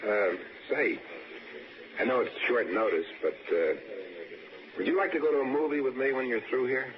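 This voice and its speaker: An actor in a 1960s radio suspense play, playing an awkward, forward diner customer who halts and repeats himself. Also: male voice, medium pitch, a crowd in the background, lightly acted. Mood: flirtatious. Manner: halting, stammering, repeating himself. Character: awkward, forward male diner customer, uneasy first-person storyteller. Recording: very noisy muffled old radio recording.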